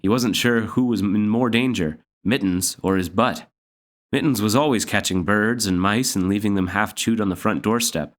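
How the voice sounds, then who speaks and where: Low-voiced man reading a story. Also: moderate pace. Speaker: story reader. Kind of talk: read-aloud children's story narration.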